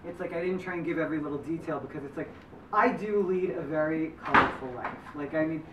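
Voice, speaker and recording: A man's voice: fairly high pitch, fast talker. A man, the memoir author and lead, answering audience questions in a rambling way, full of fillers and restarts. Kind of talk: post-screening Q&A answers. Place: community center screening room. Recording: echoey room from far off with background noise.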